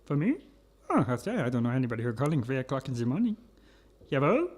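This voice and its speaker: An actor playing a bossy, short-tempered German baron villain in a comic radio play, with a German accent and a theatrical, scolding delivery. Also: male voice, mid-range voice, sing-song, quick pace, darkish tone. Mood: impatient.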